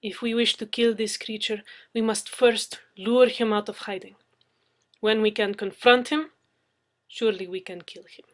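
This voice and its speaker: A woman's voice: medium pitch, fairly even intonation, moderate pace, lightly acted. A proud, fearless foreign woman in an audiobook tale, acted by a female reader. She speaks in blunt, broken English, dropping little words, with a scolding and commanding manner. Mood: firm, scolding. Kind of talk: dramatic audiobook character reading.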